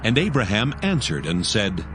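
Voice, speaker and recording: A man narrating dramatized scripture in a solemn, measured storytelling manner. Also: lowish voice, big pitch swings, over music.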